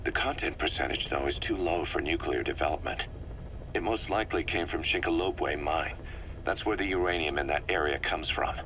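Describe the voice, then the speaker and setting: Deep, grave military intelligence officer. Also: male voice, a bit bright, rough recording. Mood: matter-of-fact. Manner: measured, analytical, grave. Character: serious, methodical male military intelligence officer, middle-aged. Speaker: intelligence officer briefing his commander. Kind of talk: scripted briefing, game voice acting. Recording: faint noise on a cassette-tape briefing.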